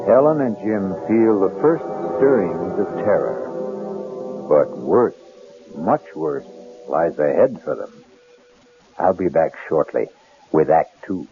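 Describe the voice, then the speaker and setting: A man hosting a suspense radio show, narrating in a theatrical, deliberate, ominous way. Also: sits low, very animated. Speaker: host and narrator. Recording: muffled old radio broadcast, music.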